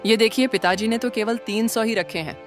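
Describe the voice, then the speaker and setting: A woman's voice: a little high, brisk, soft background music, natural voice. Woman as calculating elder village daughter-in-law. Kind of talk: Hindi cartoon moral story dialogue.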